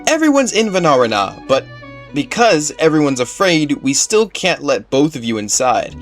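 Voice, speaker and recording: Guy doing a tiny shy forest spirit from a game, childlike wording, super swoopy pitch. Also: sing-song, normal speed, faint music behind. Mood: earnest. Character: small timid forest spirit, skittish but trusting.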